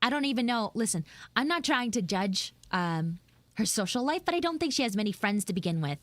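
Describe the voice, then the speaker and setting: A woman voicing a sassy, flustered high school tsundere frog girl in a casual, blunt, rambling live audio roleplay. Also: sing-song, fairly quick, a bit bright, no echo. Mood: indignant, snarky. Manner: blunt, snarky, swearing, rambling asides.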